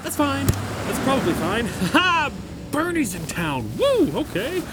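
A man's voice: very high-pitched, very animated, measured pace, heavy background noise, exaggerated voice. A man playing a cheery, flustered, eager-to-please meal delivery man in an audio comedy drama, singsong and fussing. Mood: cheery, anxious.

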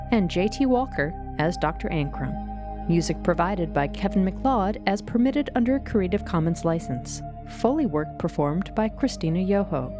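A woman announcer reading scripted closing credits for an audio drama. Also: on the low side. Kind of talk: scripted narration.

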